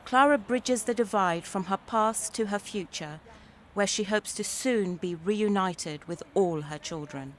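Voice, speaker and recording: A woman presents news features as a reporter. Her voice sits at a middle pitch, and she delivers scripted narration. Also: unhurried.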